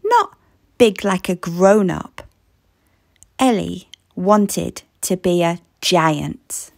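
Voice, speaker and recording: A woman reading a children's story aloud in expressive scripted narration. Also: fairly low pitch, very slow, gravelly, no echo, studio quality.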